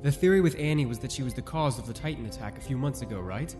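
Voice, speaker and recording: An actor voicing a pushy young cadet who talks quickly in a fan-made parody drama. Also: medium pitch, animated, over music, natural voice.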